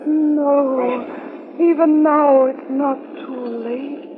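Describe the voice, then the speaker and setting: A woman playing an ancient, beautiful tree spirit in an old-time radio drama, soft, warm and imploring. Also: sits high, fairly even intonation, a crowd in the background. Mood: pleading. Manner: slow, imploring, theatrical. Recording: dull vintage radio recording, music underneath.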